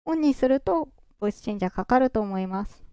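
A presenter walking through software setup step by step in a calm, explaining way, their voice run through a pitch-raising voice changer. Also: quick pace, clean tone, no room sound, clean studio sound. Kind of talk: screen-recorded software walkthrough.

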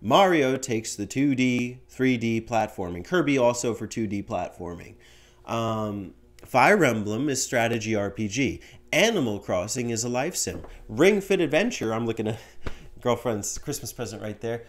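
Animated young man chatting at his desk mic. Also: mid-range voice, normal speed. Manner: animated, rambling with fillers, gesturing. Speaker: host. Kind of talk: casual chat answering viewer voicemail. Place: small home room with bookshelf and window. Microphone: studio mic on stand in front.

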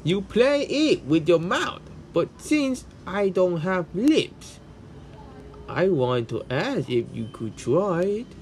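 Man as friendly robot pal for comic dub: raised, lively pitch, casual talk, caring and laid-back. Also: takes it slowly, slight background noise, heavily acted. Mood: eager. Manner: casual, tacks "man" onto sentences. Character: upbeat, caring, chilled-out male robot.

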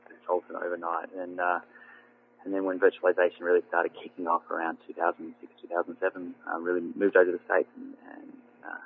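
A man with an Australian accent, a guest product manager, giving relaxed technical answers that trail off quietly between many ums. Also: low-pitched, a little hiss or hum. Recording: phone-line audio.

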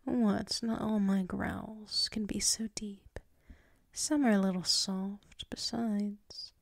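A woman playing a sleepy, submissive yet proud tsundere werewolf in an intimate ASMR roleplay, stammering softly and often slipping into whispers. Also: mid-range voice, steady tone, takes it slowly, gravelly, no room sound. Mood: uncertain, defensive.

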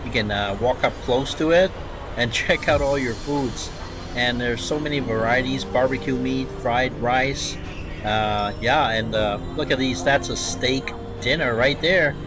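Man, mid pitch, upbeat food vlogger with a handheld mic and music under him. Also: a little slow. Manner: chatty, enthusiastic, filler-heavy. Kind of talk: casual food vlog narration. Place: fast food restaurant.

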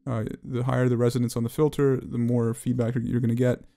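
Gravelly-voiced man over loud demo music. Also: medium pitch, flat delivery, fast talker. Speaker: audio plugin demonstrator. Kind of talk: tutorial walkthrough, unscripted.

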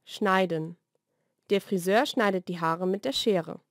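Female language-lesson narrator, mid-pitched, measured and deliberate diction, dry studio sound. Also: no echo. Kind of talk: scripted vocabulary narration, single words then example sentences. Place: dry studio voice-over recording.

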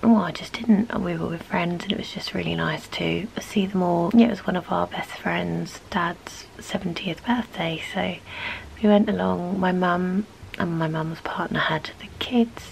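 Sleepy whispering woman with a cold, gravelly. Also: on the low side, measured pace, a little hiss or hum, rough recording. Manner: half-whispered, sleepy morning talk from bed. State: stuffy, sore throat. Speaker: vlogger. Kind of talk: casual chat. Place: bedroom, lying in bed. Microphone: camera close by on the pillow.

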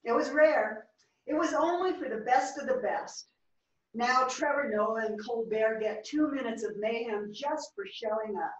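Heard from a distance in a very echoey theater, a woman acts an opinionated grumbler. The voice is fairly high, wry and declarative, as in a play reading. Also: unhurried, dull audio, lightly acted. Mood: exasperated. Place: small theater stage. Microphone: far camera.

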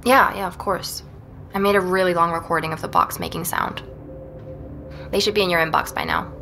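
Earnest woman investigator, lower voice. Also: big pitch swings, brisk, creaky, pushed and bright, soft background music, full character voice. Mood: thoughtful, weary. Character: earnest, determined young woman investigator recording a podcast. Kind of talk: audio drama, conversation and first-person narration.

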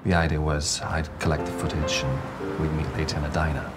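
A man's voice: extremely low pitch, little pitch movement, fairly quick. An actor in his forties playing a weary, dry-humored man, speaking in an understated way, with music behind him. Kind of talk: film dialogue and voice-over. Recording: film soundtrack with loud music.

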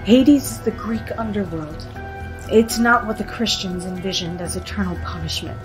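A woman playing a self-assured, theatrical teenage séance leader with a husky, solemn delivery in an audio drama. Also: mid-range voice, normal speed, music in the background, close to an everyday voice, poor audio. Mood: knowing.

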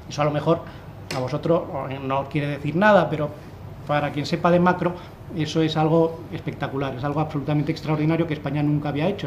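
A man's voice: a little high, darkish tone, dull audio, a little hiss or hum. A man in his thirties speaking on a panel in a conference room, explaining his analysis with many restarts. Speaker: fund manager on a panel. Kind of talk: panel discussion. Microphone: desk microphone.

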